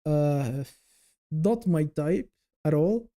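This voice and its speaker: A man's voice: fairly high pitch, lively intonation, very slow, mellow. A man hosting a casual livestream, rambling and thinking aloud as he chats with viewers. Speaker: livestream host.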